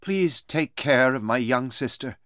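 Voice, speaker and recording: A man's voice: mid-range voice, expressive, a little slow, fairly bright tone, smooth, no room sound, clean studio sound. A man voicing a brave, dignified young woman in a storybook, composed and resolute. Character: brave, dignified young English woman, self-sacrificing captive.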